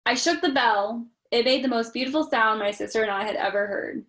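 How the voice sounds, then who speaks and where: Higher-voiced woman reading to young children. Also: a little fast. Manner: expressive, talking directly to young children. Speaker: storytime reader. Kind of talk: children's picture book read-aloud with chatty asides.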